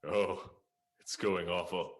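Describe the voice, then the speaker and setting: A man's voice: deep voice, flat delivery, slow. A man playing a heartbroken, mopey vaudeville comedian, mournful and sighing, in an improvised radio comedy.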